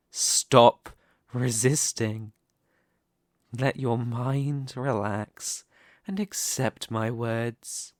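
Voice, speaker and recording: Slow, condescending demon king, acted by a man. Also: medium pitch, no echo, studio quality. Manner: deliberate, condescending, menacing. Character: arrogant immortal male demon king, cold, calculating, domineering. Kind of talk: ASMR roleplay monologue.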